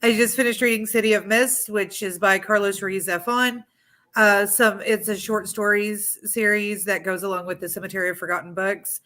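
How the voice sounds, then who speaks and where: A woman's voice: mid-range voice, steady tone, moderate pace, bright, forceful tone. A woman talking casually about books as a guest in a group chat. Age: adult. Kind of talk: casual book talk. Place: indoors on a group video call.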